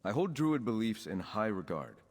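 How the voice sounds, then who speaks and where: An actor playing an earnest, composed young nobleman in a video game, speaking in a formal, measured way. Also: fairly low pitch, big pitch swings, a little mellow, in a large hall. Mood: earnest, assured. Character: young nobleman, count's son with druid leanings, earnest and composed. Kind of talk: scripted video game dialogue.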